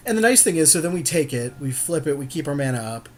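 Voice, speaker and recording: A man's voice: a little high, big pitch swings, fast, slight background noise. A man chatting informally and agreeably as he co-hosts commentary on a game, using casual slang. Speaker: podcast co-host commentating a card game.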